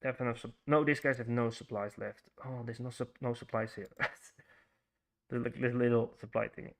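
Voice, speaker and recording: A man's voice: mid-range voice, fairly even intonation, normal speed, vocal fry. A man with a Frisian Dutch accent streaming a strategy game, thinking aloud, greeting viewers and stumbling through fillers and restarts. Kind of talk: casual livestream commentary while playing.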